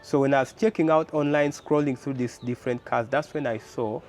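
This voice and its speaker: A young man with a Ugandan English accent, talking casually in an interview, animated and full of rhetorical questions and fillers. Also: medium pitch, brisk, soft background music. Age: young adult. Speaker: guest, car builder.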